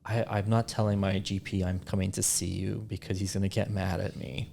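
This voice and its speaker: A man's voice: low-pitched, little pitch movement, creaky, mellow, slight background noise. A man chatting casually as a podcast host, often restarting sentences as he thinks aloud. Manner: halting, self-correcting, thinking aloud. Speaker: podcast host, naturopathic doctor.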